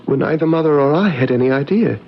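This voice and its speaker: A man playing a troubled, fragile young gentleman of twenty, earnest and hesitant, in old-time radio drama style. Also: fairly high pitch, fast talker, husky. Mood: earnest, melancholy. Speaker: actor in a radio mystery drama. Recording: muffled vintage radio recording with music.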